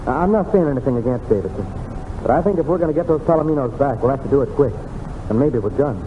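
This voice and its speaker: Quick-talking ranch hand, played by a man, vintage radio. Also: mid-range voice, animated, phone-quality sound, natural voice. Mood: wary. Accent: American. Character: urgent, wary ranch hand reporting trouble. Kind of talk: old-time radio western acting. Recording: noisy, phone-thin old radio recording.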